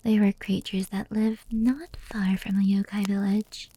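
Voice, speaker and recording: A woman playing a gentle fox-demon yokai in an ASMR roleplay, telling stories slowly and intimately in a soft, breathy whisper. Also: mid-range voice, little pitch movement, a little hiss or hum, natural voice. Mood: hushed storytelling. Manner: slow, intimate, unhurried.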